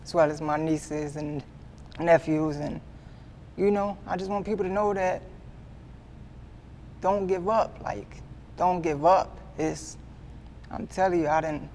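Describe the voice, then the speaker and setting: A man in his twenties giving a candid sit-down interview, earnest and halting, often repeating himself as he restarts. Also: fairly high pitch, a little mellow, slight background noise. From Midwestern US. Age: 20s. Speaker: guest artist.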